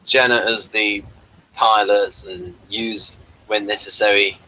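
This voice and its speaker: Bright-voiced man chatting through a casual review. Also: fairly low pitch, fairly even intonation, poor audio. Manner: halting, rambling delivery. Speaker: host of a TV review talk.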